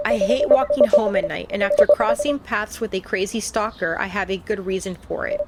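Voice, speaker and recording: A woman narrating a visual novel aloud as a let's player, with expressive, conversational reactions. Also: medium pitch. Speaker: gaming streamer, let's player. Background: game music.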